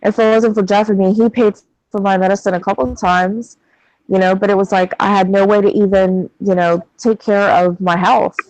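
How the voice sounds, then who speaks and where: A woman's voice: medium pitch, level tone, fairly quick, darkish tone, no echo, poor audio. A woman in her thirties in casual chat, venting bluntly with plenty of swearing. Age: 30s.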